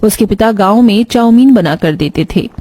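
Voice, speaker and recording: A woman's voice: medium pitch, animated, normal speed, dark tone. A woman reading a scripted moral story as its narrator.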